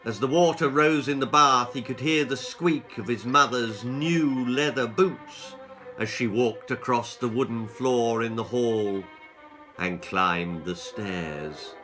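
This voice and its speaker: A man's voice: medium pitch, slow, bright, forceful tone, soft background music, low-quality recording. A man reading a children's horror-comedy story as its author, in a theatrical, suspenseful narrating style.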